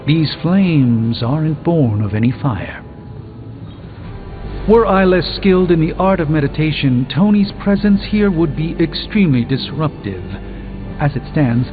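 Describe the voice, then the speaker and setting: A man's voice: a little high, lively intonation, moderate pace, lightly acted. A man playing a proud, erudite sorcerer superhero in a video game, speaking formally with dry wit. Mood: confident, grave. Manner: formal, wordy, dryly witty. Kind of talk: video game character dialogue. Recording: muffled game capture with music behind.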